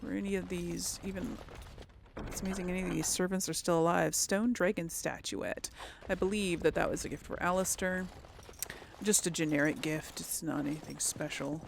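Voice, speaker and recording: A woman's voice: on the low side, steady tone, normal speed, creaky, noisy background. A woman hosting a casual let's play, thinking aloud and often trailing off into mutters. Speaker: gaming video host.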